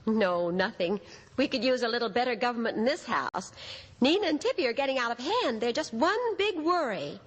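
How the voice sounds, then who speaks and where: A woman playing a gentle, worried mother, soothing yet firm, in old-time radio drama style. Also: fairly high pitch, lively intonation, normal speed, husky. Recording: noisy vintage radio drama recording.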